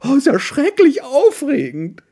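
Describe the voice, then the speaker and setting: A storyteller voicing a big, easygoing, cheerful female bear in a children's story, drawn-out and high when she exclaims. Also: very animated, vocal fry, mellow, heavily acted. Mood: delighted.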